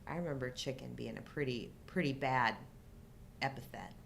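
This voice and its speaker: A middle-aged woman co-hosting a show in casual movie chat, talking informally in halting fragments. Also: gravelly. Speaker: co-host of a live chat show. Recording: low rumble and background noise.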